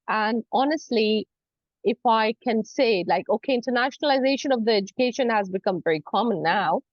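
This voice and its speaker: Female lecturer as interview guest, non-native English, lively intonation, chatty and earnest, slightly dull dry recording. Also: fairly high pitch, dry recording. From Saudi Arabia. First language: not English. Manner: frequent "you know", earnest explaining. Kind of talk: conversational interview answers.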